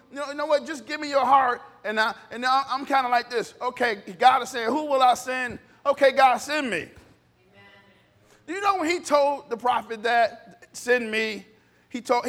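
A man with a strikingly high voice delivers an impassioned sermon. He talks like a preacher who keeps it conversational and blunt, slipping into short bits of acted-out dialogue. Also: moderate pace, fairly bright tone.

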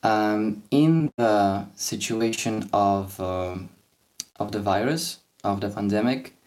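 A man's voice: fairly low pitch, slow pace, darkish tone. A young man from Poland, hosting a podcast discussion and talking hesitantly with many fillers and restarts. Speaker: podcast host.

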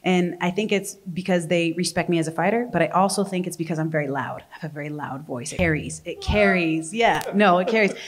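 A woman's voice: on the low side, brisk, hardly any pauses. A young adult woman, a professional fighter, answering interview questions in a candid, talkative way. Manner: candid and talkative, laughs easily.

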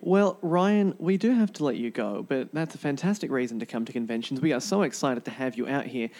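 A man presents a podcast at a middling pitch. His delivery is upbeat and promotional, and the sound is close and dry. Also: expressive, a little fast, a little mellow, dry recording. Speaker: podcast host. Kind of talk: podcast hosting, interview and sign-off.

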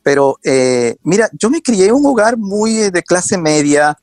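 A man in his forties from Quito with an Ecuadorian accent, a guest telling his story in a casual interview, animated and often laughing. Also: high-pitched, measured pace, no room sound, clean studio sound. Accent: Quito Ecuadorian Spanish. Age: 40s.

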